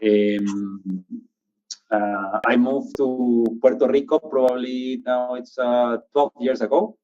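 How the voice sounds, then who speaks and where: A man's voice: fairly even intonation, takes it slowly, dark tone. A man from Ecuador, casually introducing himself as a panelist. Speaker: panelist, technology executive. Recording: muffled low-fidelity online call audio.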